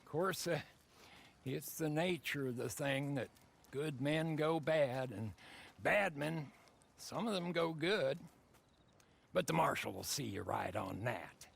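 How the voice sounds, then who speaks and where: A man playing a rambling, eccentric old American loon of the Wild West in a video game, raspy and folksy. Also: on the high side, lively intonation, takes it slowly, pauses often, exaggerated voice. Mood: philosophical. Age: older. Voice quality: croaky, raspy, wavering. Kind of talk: video game cutscene acting.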